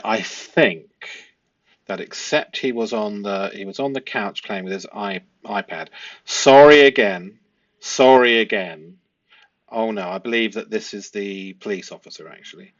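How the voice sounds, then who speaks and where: A man giving analytical commentary as a host, reading from a transcript in halting, stop-start phrases and stressing key words. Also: fairly low pitch, no echo. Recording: dull, muffled lo-fi audio.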